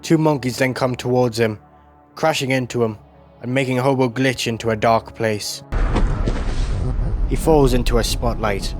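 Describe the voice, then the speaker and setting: A man reads scripted video essay narration at a middle pitch. He describes scenes like a storyteller, with music playing faintly behind him. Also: fairly even intonation, moderate pace, pauses often. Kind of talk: scripted narration, recapping an animated series.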